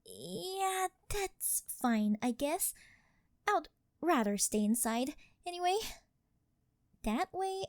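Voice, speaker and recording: A woman acts out a wealthy older yandere girlfriend who dotes and clings. Her voice sits high and she takes her time, sweet-talking with a possessive edge. It is an intimate ASMR roleplay with a very dry sound. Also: darkish tone, dry recording. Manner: coaxing, sweet-talking, apologetic with possessive undertone.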